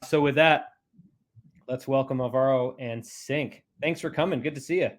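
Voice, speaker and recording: A man's voice: medium pitch, normal speed. A man hosting a webinar as a technology columnist, reading a scripted introduction with dry, self-aware asides.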